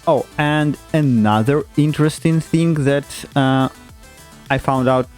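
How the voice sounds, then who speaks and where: A man commenting casually on a racing game at an unhurried pace, his voice sitting in a middle register. He hesitates often, restarts his sentences and chuckles now and then. Game music plays clearly behind him. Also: mid-range voice, a little mellow. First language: not German. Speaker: gaming YouTuber. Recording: game music playing underneath.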